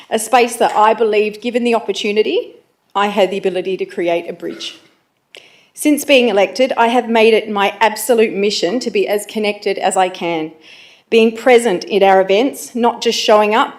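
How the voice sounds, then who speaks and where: An Australian woman, a councillor, delivering an earnest, confident prepared speech at a council meeting. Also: mid-range voice.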